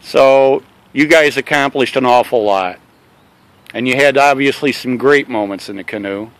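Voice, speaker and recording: A man interviewing a canoe racer, building his questions slowly and rambling a little. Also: medium pitch, smooth, no echo.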